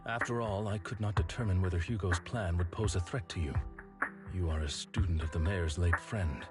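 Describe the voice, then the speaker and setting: An actor playing a reserved, dutiful gentleman protector in a video game, speaking in a stiff, courteous, measured way. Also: sits low, close to an everyday voice. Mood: polite, restrained. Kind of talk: dramatic video game voice acting. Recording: background music under voice.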